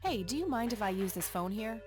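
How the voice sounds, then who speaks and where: A dry, sardonic young woman detective, voiced by an actress for an adventure game, speaking at a middling pitch. Her lines come with a score playing clearly underneath. She sounds blunt and unimpressed. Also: animated, brisk, natural voice. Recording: game audio with music behind.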